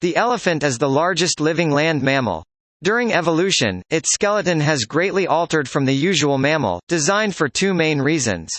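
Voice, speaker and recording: A man's voice: a little high, expressive, normal speed, a bit bright, dry recording. A man reading a scripted informational passage aloud as narration. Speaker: reader of practice passages.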